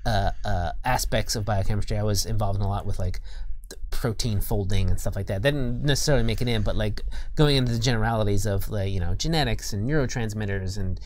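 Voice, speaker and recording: A man's voice: lowish voice, fast talker, a little mellow. An American man, a guest game designer, chatting casually in a studio and telling anecdotes in a hesitant, self-deprecating way.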